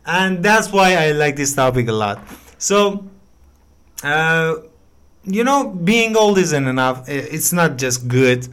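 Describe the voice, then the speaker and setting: Encouraging young man, smooth voice. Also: fairly high pitch, animated, takes it slowly, fairly bright tone. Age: 20s. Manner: encouraging, hesitant with many fillers and restarts. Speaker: English learner giving feedback. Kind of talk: casual voice message.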